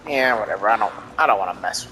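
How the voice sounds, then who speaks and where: A man's voice: lowish voice, fast, background noise. A man giving casual, self-deprecating let's play commentary, chatting half to himself. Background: game music.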